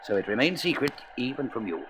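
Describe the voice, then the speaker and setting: Actor as a grave, animated council president, some noise. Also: male voice, medium pitch, fast talker, close to an everyday voice. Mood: grave urgency. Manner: formal, emphatic, earnest. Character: grave, courteous male president of a space council. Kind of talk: vintage science-fiction radio serial acting.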